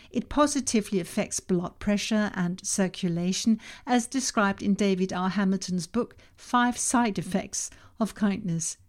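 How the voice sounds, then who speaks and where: A woman whose first language is Danish, reading her own self-help podcast in a calm, measured way with slow emphasis on key words. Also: medium pitch. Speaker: podcast host and author. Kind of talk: scripted self-help narration.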